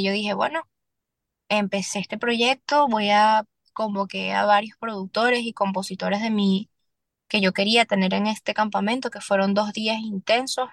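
A young Venezuelan woman, a singer-songwriter, speaking candidly as a podcast guest, earnest and halting with restarts. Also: fairly high pitch, no echo. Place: Miami.

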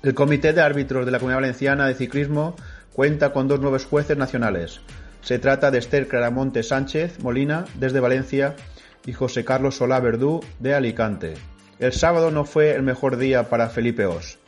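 A man reading a cycling news bulletin at a steady pace. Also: medium pitch. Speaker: news contributor.

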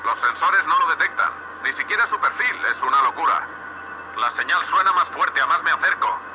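A man's voice: a little high, animated, normal speed. A man with a Castilian Spanish accent playing a blunt, foul-mouthed space salvage boss in a sci-fi audio drama, heard over a radio link. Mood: intrigued, focused. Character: gruff, sweary space salvage boss, awed by alien tech. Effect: radio comms filter. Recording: muffled, noisy space-suit radio link.

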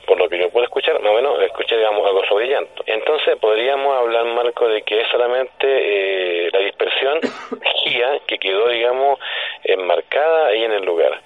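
A man's voice: lowish voice, animated, moderate pace. A man with a Chilean accent explains things conversationally as a guest on a radio interview, punctuating statements with questioning hums. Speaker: guest paranormal commentator. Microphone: telephone. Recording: narrow, dull phone-line sound.

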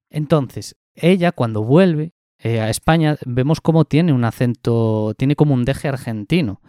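A man's voice: mid-range voice, mellow, clean tone, no room sound, clean studio sound. A young man talking through a film review, thoughtful and full of fillers and restarts.

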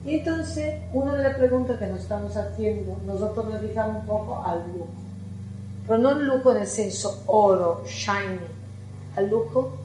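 A middle-aged woman with an Italian accent, giving a chatty, lively conference talk as an architect and putting questions to the audience. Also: mid-range voice. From Italy. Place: lecture hall. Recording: echoey hall with faint music.

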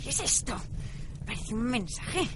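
Feisty old cleaning woman voiced by an actress, highish animated delivery, radio drama, slight background noise. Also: measured pace. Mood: matter-of-fact.

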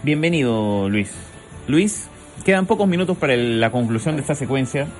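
A man's voice: medium pitch, expressive, normal speed, soft background music. A man hosting a comic radio segment, playfully teasing callers and reading jokes aloud. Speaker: radio host.

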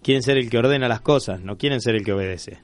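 An Argentine man hosts a radio magazine in a casual, chatty style, with music behind him. His pitch is mid-range and he often repeats phrases to drive a point home. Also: fast, no room sound. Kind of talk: casual studio chat.

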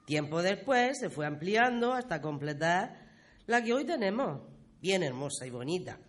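A man's voice: high-pitched, big pitch swings, normal speed, a bit bright. A man playing a patient local villager in a radio play, explaining things colloquially. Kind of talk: conversational radio play acting.

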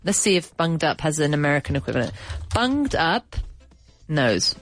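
A chatty woman hosting a casual English-learning chat, her voice on the lower side and a little nasal from a runny nose. She explains words with quick asides and laughs. The sound is dry and close, with faint music behind. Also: sing-song, no room sound. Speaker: podcast host teaching English.

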